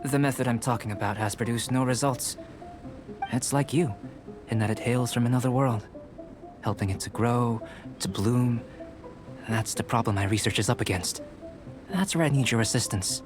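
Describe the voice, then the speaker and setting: A man's voice: medium pitch, lightly acted. Young male game alchemist, played by an actor: composed, cerebral, formal, mid-range voice over music. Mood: earnest. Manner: measured, formal, analytical. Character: calm, detached, scholarly young male alchemist painter. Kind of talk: video game voice acting.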